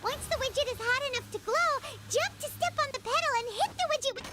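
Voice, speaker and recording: A woman's voice: animated, fast talker, bright, forceful tone, faint music behind, full character voice. A woman voicing a tiny, childlike, chirpy and whiny fairy companion in a video game, speaking dramatically. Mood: bright, instructive. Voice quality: squeaky, childlike. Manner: refers to self in third person, dramatic. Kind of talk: animated video game voice acting.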